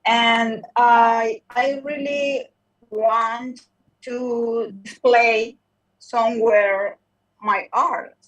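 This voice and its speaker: A woman's voice: a little high, fairly even intonation. Hesitant Spanish-accented woman, distant echo. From Spanish-speaking country. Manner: hesitant, halting, searching for words. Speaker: caller. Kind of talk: live call-in question. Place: in a car while driving. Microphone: phone on a video call. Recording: echoey, distant call audio.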